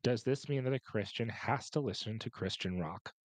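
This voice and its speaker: A man's voice: fairly low pitch, moderate pace, no echo. A man in a casual podcast debate, bluntly interjecting with comic exaggeration. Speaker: podcast panelist.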